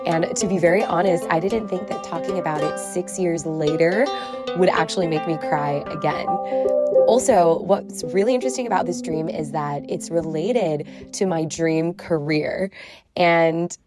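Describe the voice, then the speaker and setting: A woman's voice: lowish voice, normal speed. A young woman in her twenties opening her podcast episode, speaking to the listener in a friendly, upbeat way. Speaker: podcast host. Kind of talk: podcast intro. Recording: soft music underneath. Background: mandolin music.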